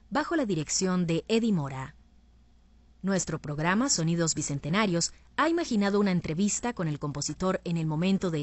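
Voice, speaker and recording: A woman presenting a radio programme, reading scripted documentary narration in a formal, measured way. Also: lowish voice, dry recording.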